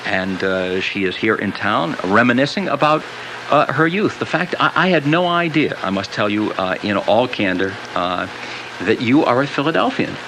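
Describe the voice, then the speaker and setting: A man hosting a live radio interview, speaking in wordy, halting, formal phrases. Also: medium pitch, expressive. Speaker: radio host. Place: radio studio. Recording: noisy 1983 radio taped on cassette. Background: clear background noise.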